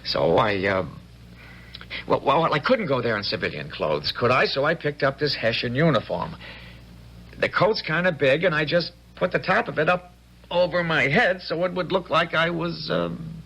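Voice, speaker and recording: A scared young infantryman in a radio drama, voice bright and gravelly, pitched a little high. He stammers and pleads in plain country speech, his tone swinging widely up and down. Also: lively intonation, moderate pace, lo-fi sound, full character voice. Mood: earnest, sheepish. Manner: stammering, rustic, hesitant, earnest. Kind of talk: 1970s radio drama acting.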